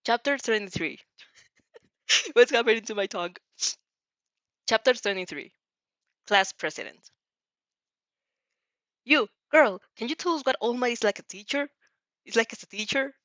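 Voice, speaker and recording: Woman reading fanfic out loud, giggly n hesitant, keeps correcting herself, kinda dull dry lo-fi mic. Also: on the high side, normal speed, pauses often, no echo. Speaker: host of fanfiction reading show. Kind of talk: casual chat and fanfiction reading aloud.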